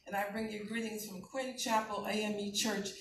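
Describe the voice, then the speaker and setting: A woman's voice: medium pitch, little pitch movement, fairly quick. Older american lady saying hello to a church, echoey, noisy room. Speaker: church visitor. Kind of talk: greeting a congregation. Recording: echoey hall, distant, noisy.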